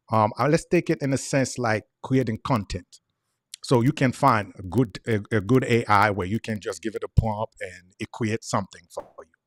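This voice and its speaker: Haitian man, 40s, thick Creole accent, low voice, earnest guest on a talk show, dead dry sound. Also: no echo. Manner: earnest, repeats words while explaining. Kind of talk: casual interview chat.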